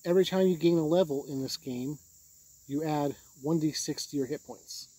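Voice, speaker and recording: Husky, mellow man reviewing tabletop games. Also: on the high side, normal speed, no room sound. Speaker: host of a tabletop game review channel. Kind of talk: conversational video review, explaining rules.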